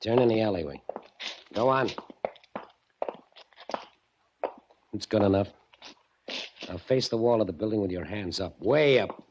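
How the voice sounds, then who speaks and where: A man's voice: on the low side, expressive, moderate pace. An actor playing a curt, suspicious, threatening gangster gunman in 1940s radio drama style, using tough slang. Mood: menacing, curt. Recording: dull, noisy old radio recording with clicks.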